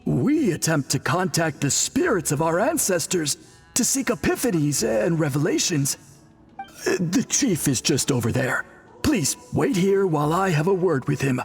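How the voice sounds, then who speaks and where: A dutiful priest in an anime game, very husky and on the high side. He explains things formally and courteously, with animated swings in pitch. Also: measured pace, soft background music, full character voice. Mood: helpful.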